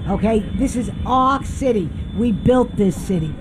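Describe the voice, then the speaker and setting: A woman's voice: fairly high pitch, expressive, unhurried, noisy background. A woman giving an impassioned street interview outdoors in a blunt, emphatic, opinionated way. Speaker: community advocate being interviewed. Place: outdoors on a city avenue at a public event. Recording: music behind, outdoor city street.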